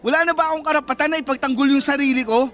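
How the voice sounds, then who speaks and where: A man's voice: very high voice, steady tone, very fast, pushed and bright. A man acting a fed-up but protective teenage boyfriend in a Filipino radio drama, emphatic and scolding. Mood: exasperated.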